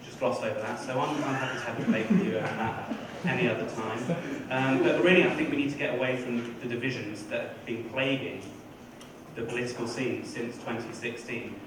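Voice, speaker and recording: A man speaking as a council candidate in a debate, calm and conciliatory. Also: medium pitch, a little fast. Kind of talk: debate speech. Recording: echoey hall, far from mic, noise.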